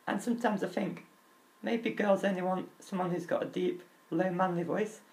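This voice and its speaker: A young man with a crackly, high-pitched voice, talking openly and earnestly about himself, with halting restarts. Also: fairly even intonation, darkish tone. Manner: open and earnest, halting restarts, nervous laughs. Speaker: vlogger. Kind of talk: candid personal talk.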